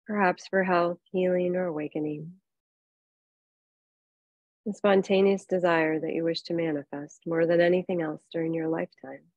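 A woman leads a guided relaxation as a meditation teacher. Her voice sits somewhat low, and she speaks in an unhurried, soothing way, leaving long pauses between phrases. The sound is very dry, free of room reflections. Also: fairly even intonation, a little mellow, dry recording, dull audio. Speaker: yoga nidra meditation teacher.

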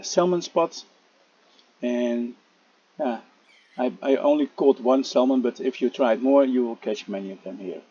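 A man walks through a game tutorial in a casual voice-over, pausing often on "uh" and restarting his sentences. His voice is low-toned and a little husky, and it comes through a dull, lo-fi home microphone. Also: mellow. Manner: halting, many "uh" fillers and restarts. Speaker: gameplay tutorial narrator.

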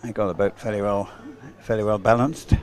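A man commentating live on a race as a sports commentator, unhurried and halting, in short phrases. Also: on the low side, fairly even intonation, darkish tone.

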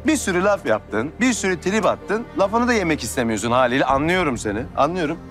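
An insistent TV drama suitor in his thirties. He talks quickly and emphatically, with very animated intonation. His manner is pushy and colloquial, with faint music behind him. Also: a little high. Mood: cocky, pleading. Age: 30s. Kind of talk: television drama acting. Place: outdoors on a city street.

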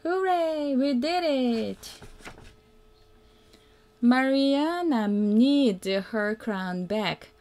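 A woman reading a children's picture book aloud as a mother would, in a simple, deliberate way that invites the young listener to join in. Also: high voice, lively intonation, a little mellow. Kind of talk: read-aloud children's storytelling.

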